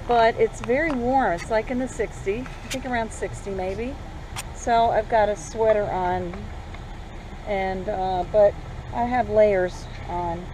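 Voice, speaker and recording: An older woman, chatting in a rambling, homespun way as she vlogs from her car. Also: fairly high pitch. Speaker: vlogger. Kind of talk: casual driving vlog narration. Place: inside a moving car. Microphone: camera on the dashboard. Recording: loud road noise in a car. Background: car and road noise.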